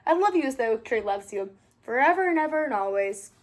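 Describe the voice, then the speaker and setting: A woman's voice: high voice, lively intonation, a little fast, pitched below the performer's own voice. Woman as a soothing, loving mother animal. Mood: tender, reassuring. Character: gentle, loving mother animals comforting their young.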